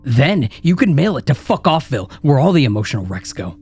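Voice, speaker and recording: A man's voice: fairly low pitch, expressive, a little fast, a little mellow, slightly rough. A man voicing a cruel, sneering male inner critic that mocks and taunts relentlessly in a dramatized story reading. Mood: mocking. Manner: mocking, taunting, vicious. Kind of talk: dramatized horror story narration.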